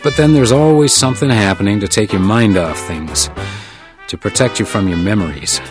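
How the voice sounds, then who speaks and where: An actor playing a world-weary male house detective in a hard-boiled radio drama, terse and deadpan. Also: lowish voice, moderate pace, lightly acted. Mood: brooding, wistful. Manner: terse, wry, deadpan noir delivery. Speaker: narrator and lead character. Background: music, guitar.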